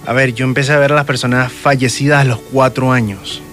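A Venezuelan man speaks as an interview guest, recounting personal experiences in a conversational way. He has a mid-range voice and often checks he is understood. Quiet music sits behind him. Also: mid-range voice, steady tone, fairly quick. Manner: frequent check-in tags. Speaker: guest, self-described medium.

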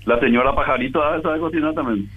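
A man calling in to a radio show, talking slowly and rambling with many hesitations. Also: fairly high pitch, slightly rough. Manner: slow, rambling, full of hesitations. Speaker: radio caller. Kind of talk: casual phone chat. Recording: tinny phone line.

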